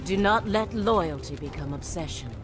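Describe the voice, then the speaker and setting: Actress as low-voiced proud warrior woman. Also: expressive, moderate pace, lightly acted. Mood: solemn. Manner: formal, assured. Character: proud, composed female warrior bodyguard. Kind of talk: video game character acting. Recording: loud music behind.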